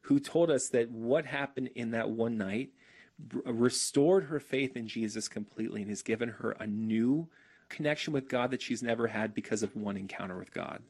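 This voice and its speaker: Excited man telling a faith story. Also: medium pitch, moderate pace, husky. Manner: excited storytelling with frequent restarts. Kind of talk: casual faith testimony.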